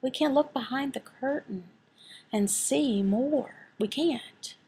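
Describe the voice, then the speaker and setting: A woman voicing a signed sermon as an interpreter, speaking deliberately, phrase by phrase with pauses. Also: high voice, mellow, slight background noise. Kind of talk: sermon and prayer, voiced from sign language.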